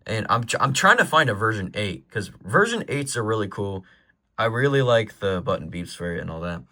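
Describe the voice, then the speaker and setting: A man with a fairly low voice talks casually through items in his collection. His delivery is informal and rambling, and he freely offers his own opinions as he goes. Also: very animated, fairly quick, pushed and bright. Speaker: collector presenting a weather radio collection. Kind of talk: casual product show-and-tell.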